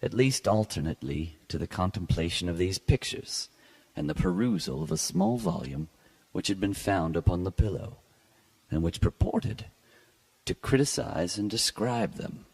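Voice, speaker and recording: A man giving a dramatic, scripted reading of classic literature as a podcast host, with a gravelly, husky voice and a measured, formal, theatrical delivery. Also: low-pitched, big pitch swings, a little mellow, rough recording. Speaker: podcast host and story reader.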